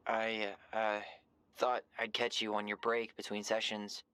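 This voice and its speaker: An actor playing an easygoing young American man in a video game, speaking casually and with gentle, understated humor. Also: lowish voice, completely flat delivery, a little slow, clean tone, no echo. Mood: hesitant, reflective. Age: young adult. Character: easygoing young man, twin brother leaving his childhood home. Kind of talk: naturalistic video game dialogue.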